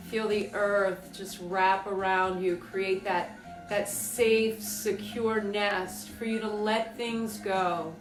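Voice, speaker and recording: A woman leading a guided meditation with slow, deliberate, soothing phrasing and pauses. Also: mid-range voice. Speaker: group exercise coordinator leading guided meditation. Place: indoors on a rug by firelight. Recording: roomy, distant mic, music behind.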